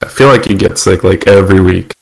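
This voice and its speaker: Deep gravelly man, easygoing co-host. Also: brisk, darkish tone, poor audio. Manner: easygoing, hesitant, lots of "like" and "um". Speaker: podcast co-host. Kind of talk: casual tech chat.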